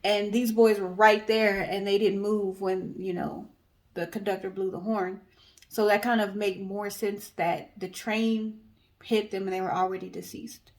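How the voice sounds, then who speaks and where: A woman's voice: mid-range voice, little pitch movement, unhurried. A woman co-hosting a storytelling guessing show, telling stories in a casual, conversational way.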